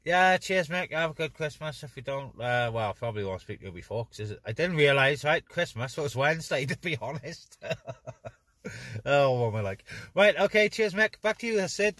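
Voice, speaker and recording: A man's voice: fairly high pitch, expressive, moderate pace. Man chatting on CB radio, noisy background. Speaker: CB radio operator. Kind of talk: casual on-air radio chat. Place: inside a parked car on open moorland. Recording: noisy in-car recording with clicks.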